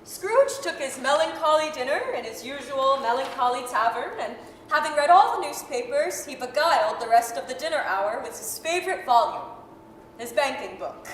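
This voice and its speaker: A woman narrating a radio drama as a measured, articulate, dramatic storyteller. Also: high-pitched, expressive. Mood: storytelling. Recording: echoey hall, faint noise.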